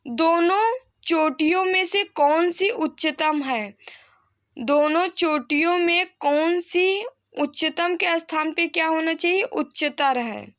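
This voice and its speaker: Bright, high-pitched woman teaching slowly. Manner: didactic, repeats sentences and asks then answers her own questions. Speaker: Hindi grammar teacher for exam candidates. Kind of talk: instructional lesson, reading sentences and correcting them.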